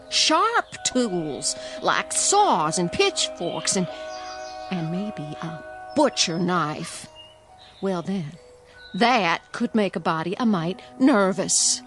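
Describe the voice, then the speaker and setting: A woman's voice: fairly low pitch, full character voice. An actress playing a gossipy small-town woman with a rural American accent in a radio drama, folksy and conspiratorial. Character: gossiping small-town woman telling neighbours dark rumours.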